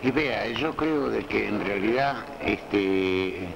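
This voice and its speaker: An older man with an Argentine accent giving measured, hesitant answers in a casual interview on old news film. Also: fairly low pitch, expressive, creaky, background noise. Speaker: passer-by interviewed. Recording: muffled 1970 archive sound, crowd chatter.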